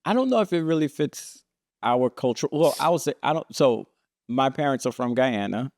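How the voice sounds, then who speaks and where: A man, co-hosting a podcast in casual, animated chat. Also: medium pitch, moderate pace. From Brooklyn, New York. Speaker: podcast co-host.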